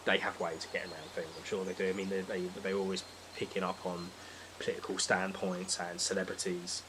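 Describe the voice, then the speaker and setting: A British man with a fairly low voice talks quickly as a podcast guest. He is blunt and casual in giving his opinions. Some noise sits in the recording. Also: flat delivery. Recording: clear background noise.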